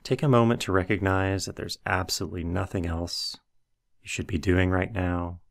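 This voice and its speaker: A man with a low-pitched voice narrates a guided meditation. He gives instructions in a measured way, working from a script as a meditation guide. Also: fairly even intonation, creaky.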